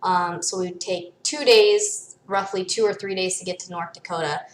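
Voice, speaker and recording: A woman's voice: lowish voice, steady tone, normal speed. American woman vlogger in an echoey room. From United States (born in Michigan, raised in Arizona from age seven or eight). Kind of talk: casual explanatory vlog talk.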